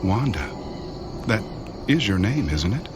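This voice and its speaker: An actor in a radio drama playing a sinister, smooth-talking stalker with a courtly, menacing and deliberate manner. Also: male voice, lowish voice, sing-song, heavy background noise. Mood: quietly menacing.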